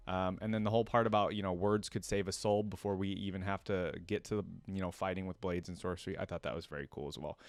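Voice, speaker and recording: Male reaction host, casual gaming commentary, fairly low register, very dry recording with no room sound. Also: smooth, dry recording. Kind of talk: casual commentary on video game voice lines.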